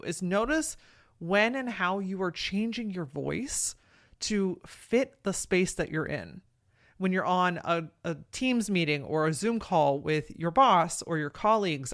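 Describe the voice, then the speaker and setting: A woman hosting a podcast, talking frankly and encouragingly in a casual way with a deep voice. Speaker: podcast host. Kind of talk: solo podcast talk, motivational.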